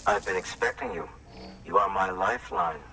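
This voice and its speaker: An actor playing a wary but grateful male survivor with a halting, emphatic delivery in a video game cutscene. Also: low-pitched, recorded from a distance, very noisy, lightly acted. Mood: grateful, delighted.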